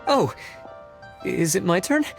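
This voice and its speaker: Game role: easygoing, timid man, faltering, slow, animated pitch, light music. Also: creaky, full character voice. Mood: unsure. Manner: hesitant, faltering. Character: meek, hesitant, easygoing male candidate. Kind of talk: video game voice acting. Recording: music behind the voice.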